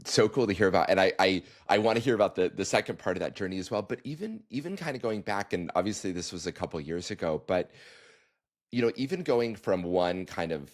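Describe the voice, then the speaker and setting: Man, very deep voice, podcast host, enthusiastic, stumbling questions with restarts. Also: expressive, fairly quick. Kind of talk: casual interview conversation.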